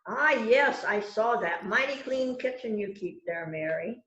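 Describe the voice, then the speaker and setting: Folksy, eager sidekick detective, played by a woman for amateur mystery; lightly raised pitch, roomy sound. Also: expressive, a little slow, fairly bright tone. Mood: agreeable. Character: eager, agreeable junior detective, the boss's sidekick. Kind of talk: amateur readers theater murder mystery. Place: indoors on a virtual event call. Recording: echoey, noisy, muffled video call audio.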